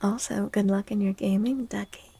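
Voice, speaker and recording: A woman livestream host speaking softly in an ASMR style, hushed and gentle, often slipping into a near-whisper close to the microphone. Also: mid-range voice, fairly even intonation, moderate pace, a little hiss or hum. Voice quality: soft, breathy. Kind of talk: soft-spoken ASMR livestream chat.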